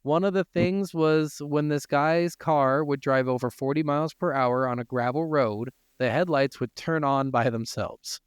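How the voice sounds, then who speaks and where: A man's voice: on the high side, steady tone, normal speed, few pauses, smooth, no echo, studio quality. A man hosting a casual gaming podcast, moving between informal, sweary chat and reading from notes. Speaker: podcast host.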